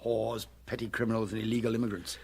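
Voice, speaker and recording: An actor playing a weary senior police official, curt and authoritative, in a radio drama. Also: male voice, fairly low pitch, little pitch movement, fast talker, creaky, a little mellow, slightly rough. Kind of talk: British radio drama acting.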